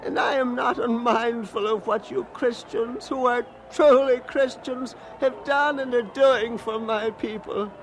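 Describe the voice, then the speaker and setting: An actor playing an elderly, gentle Indian independence leader in a radio drama, speaking earnestly and haltingly with repeated words. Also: male voice, very high-pitched, fairly even intonation. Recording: noisy radio drama track with background ambience.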